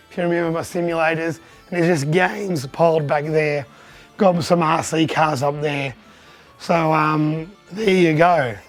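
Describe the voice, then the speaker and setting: A hoarse man who has lost his voice, giving a chatty, upbeat vlog tour of his arcade collection. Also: a little high. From Australia. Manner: chatty, upbeat, pointing things out.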